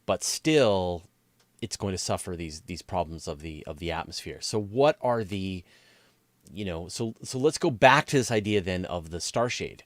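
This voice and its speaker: A man's voice: low-pitched, expressive, moderate pace, no echo. A man hosting a conversational science interview, phrasing his points with emphasis. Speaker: interview host.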